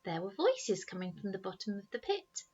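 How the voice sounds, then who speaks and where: A woman reading a children's story aloud in an expressive storytelling style, like a teacher reading to pupils. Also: medium pitch, a little fast, breathy edge, dull audio.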